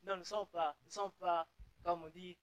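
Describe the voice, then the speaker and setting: Young guy, bit high voice, earnest, repeats himself a lot. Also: fairly even intonation, moderate pace, dry recording. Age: young adult. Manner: earnest, repeats words while searching. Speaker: guest.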